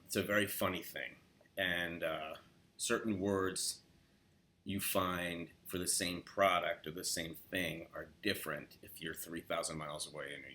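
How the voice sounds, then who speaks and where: A deep-voiced middle-aged man talks casually to the camera. He rambles a little, drops in fillers, and wanders through his points at an easy pace. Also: bright, forceful tone. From New York. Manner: rambling, filler-heavy, acts out quoted LA speech with rising upswing. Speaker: accent coach. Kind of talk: casual instructional talk to camera.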